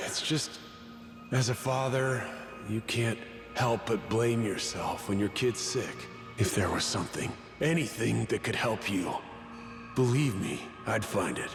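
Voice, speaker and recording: A guilt-ridden sailor father from a video game. His lowish voice is heavy, halting and sincere, echoing over faint music. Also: expressive. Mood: regretful. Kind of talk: scripted video game cutscene acting. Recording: echoey hall with faint music.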